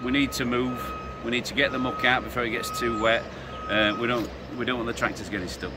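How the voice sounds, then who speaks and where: A middle-aged farmer with a Yorkshire accent talks in a plain, matter-of-fact way, pausing on fillers. His voice is mid-pitched, caught on someone else's camera in an outdoor yard with loud tractor and farm noise behind him. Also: steady tone. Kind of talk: casual chat. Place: outdoor farmyard.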